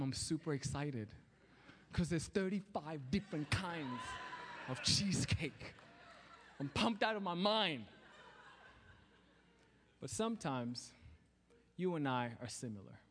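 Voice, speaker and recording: A man with a Kenyan accent preaching in church, telling a personal story with lively acted-out voices. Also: fairly high pitch. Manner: animated storytelling, acting out voices. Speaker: guest preacher. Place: church sanctuary.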